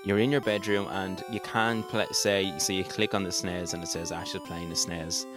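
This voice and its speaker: Deep-voiced man chatting over guitar music. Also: little pitch movement, normal speed. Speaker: podcast co-host. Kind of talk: casual, enthusiastic chat. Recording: music with guitar clearly behind.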